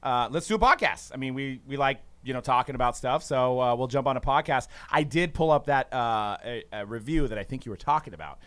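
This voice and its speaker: Man, podcast co-host, mid-pitched voice, dry close sound, upbeat, casual studio chat. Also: lively intonation, brisk, few pauses, a bit bright, no room sound. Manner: upbeat, laughs often, frequent "uh" fillers.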